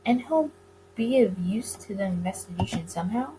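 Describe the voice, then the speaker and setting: A woman's voice: medium pitch, animated, normal speed, natural voice. Woman as male detective boss, worried, dry, earnest, visual novel dialogue, background noise. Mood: reluctant. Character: worried, skeptical male detective boss, weary but affectionate.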